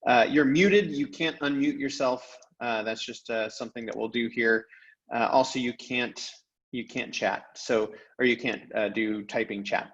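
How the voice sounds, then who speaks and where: A man's voice: medium pitch, measured pace, fairly bright tone, dull audio. A man speaking as a teacher, giving class announcements and reading a storybook aloud.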